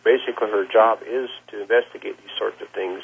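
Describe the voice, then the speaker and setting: Husky rancher on a phone line. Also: male voice, lowish voice, steady tone. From Nebraska, United States. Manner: plain-spoken, halting, repeats words. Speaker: cattle rancher being interviewed. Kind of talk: interview account, conversational. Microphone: telephone. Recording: muffled, lo-fi phone interview line.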